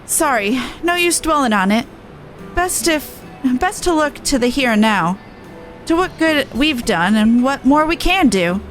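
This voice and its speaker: Woman playing a high-voiced, blunt resistance soldier. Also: expressive, a little slow, a bit bright, faint music behind, low-quality recording. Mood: resolved, gentle. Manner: earnest, blunt, rough-and-ready phrasing. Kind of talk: game dialogue voice-over.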